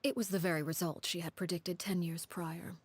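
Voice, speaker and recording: Casting: cold, commanding game harbinger woman, low-pitched, hushed and breathy, measured storyteller. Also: steady tone, dry recording. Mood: solemn, restrained. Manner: measured, solemn, deliberate. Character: composed, cold, commanding woman harbinger telling her grim past. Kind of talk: dramatic storytelling in a video game.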